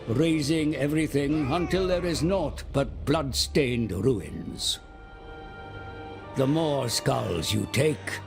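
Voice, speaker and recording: A man playing a sly, servile seer who guides demonic warlords, speaking in a theatrical, gravelly voice as dramatic dark fantasy game narration. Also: medium pitch. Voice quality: creaky, gravelly. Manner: theatrical, deliberate, sly and flattering. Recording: music under the voice. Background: dramatic music.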